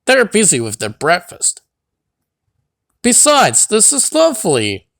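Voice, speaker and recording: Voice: medium pitch, wildly swooping pitch, slow, vocal fry, a bit bright, no echo, exaggerated voice. A reader voicing a cheeky, stubborn little male tank engine in a children's storybook. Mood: cheerful. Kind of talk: children's storybook character reading.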